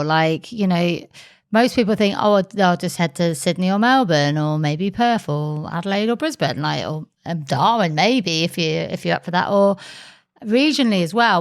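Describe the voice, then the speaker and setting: A woman's voice: on the low side, vocal fry. A woman co-hosting a podcast, chatting casually and laughing easily, with plenty of fillers. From England. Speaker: podcast co-host.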